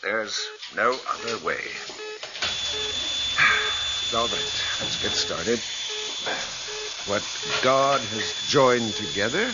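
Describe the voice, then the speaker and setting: A man's voice: medium pitch, huge pitch swings, drawn-out words, pauses often, heavy background noise, full character voice. A man playing a grave surgeon lecturing medical students in a radio drama, clinical and declamatory. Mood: grave, resolute. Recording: muffled old radio recording, music behind.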